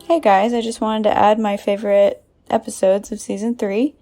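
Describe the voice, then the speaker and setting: A woman's voice: medium pitch, level tone, normal speed, warm tone, soft background music. A woman chatting casually as a listener sharing favorites. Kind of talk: casual chat.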